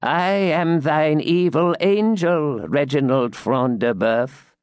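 A man voicing an unearthly spirit-like voice, broken and shrill, drawing out slow accusations. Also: darkish tone, clean tone, dry recording, studio quality. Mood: accusing.

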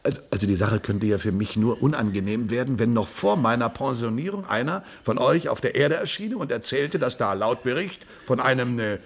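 A man's voice: medium pitch, lively intonation, fairly quick, vocal fry, few pauses, breathy edge. Flustered, stammering male astronaut-bureaucrat. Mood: conspiratorial. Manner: stammering, hesitant, fussily exasperated. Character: flustered male astronaut, bureaucratic civil servant nearing pension. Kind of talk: comic German radio play acting.

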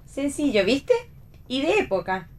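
A woman's voice: high-pitched, big pitch swings, measured pace. An actress playing a breezy, frank woman friend in read radio theatre. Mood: pleased.

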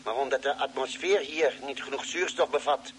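An actor playing a patient, commanding male spaceship doctor in a Dutch radio drama, speaking deliberately. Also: medium pitch, lightly acted. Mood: calm, explaining. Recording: noisy old radio drama recording.

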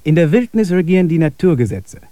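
A man's voice: a little high, very animated, quick pace, dark tone, no echo. A man playing a skeptical, rational camper in a radio play dialogue.

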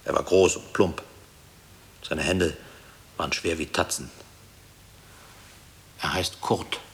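An actor playing a grave former prisoner haunted by wartime memories, speaking in a measured, reflective way in a radio play. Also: male voice, rumbling low, steady tone, exaggerated voice. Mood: sombre reflection. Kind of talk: German radio play acting. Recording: hissy old radio drama tape.

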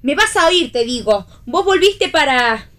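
An actress playing a bossy, defiant, quick-tongued woman in radio theatre, with an Argentine accent. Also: high voice, animated, fairly bright tone. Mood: angry, insistent.

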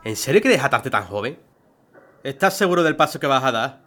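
A man's voice: medium pitch, very animated, fairly quick, pushed and bright, a little hiss or hum. An actor playing a teasing, worldly young man in an audio drama, talking casually to his friend.

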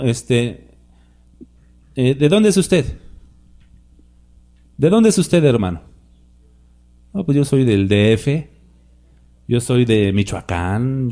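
Male preacher, slightly high, dark mellow tone, question-and-answer sermon delivery. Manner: conversational, asks the congregation questions and answers them himself; repeatedly addresses listeners as "my brothers".

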